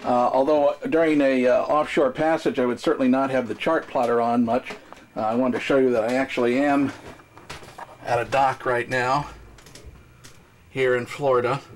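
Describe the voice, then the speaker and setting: An older man on a docked boat, casually walking through his radio gear in a relaxed, rambling way with many fillers, recorded on a handheld camera mic. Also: mid-range voice, slight background noise. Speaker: sailor showing his boat's radio gear. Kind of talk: casual hands-on demonstration. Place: boat cabin at a dock.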